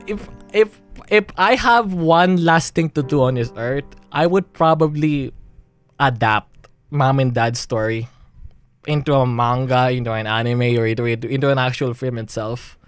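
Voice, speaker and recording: A man's voice: medium pitch, unhurried, clear, resonant tone, faint music behind. A young man from the Philippines with a Filipino accent, talking on a livestream in a rambling way, with many restarts and nervous laughs. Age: young adult. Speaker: streamer. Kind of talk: emotional livestream monologue.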